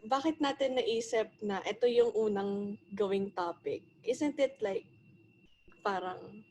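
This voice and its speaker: A nineteen-year-old woman from the Philippines, a podcast guest chatting casually in lively, teasing English and Filipino. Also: medium pitch, unhurried, a little hiss or hum, rough recording.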